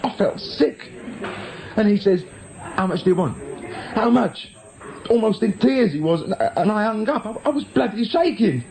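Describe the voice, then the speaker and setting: Radio drama petty crook: British, fairly high and animated, jittery, evasive, self-justifying. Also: male voice, normal speed, long pauses, low-quality recording. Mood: shaken, fearful. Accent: British English. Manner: nervous, stammering, self-justifying. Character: jittery small-time crook, male, defensive, evasive.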